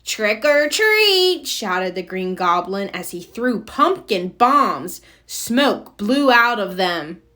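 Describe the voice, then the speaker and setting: A woman narrating a children's storybook aloud with expressive, deliberate phrasing. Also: medium pitch.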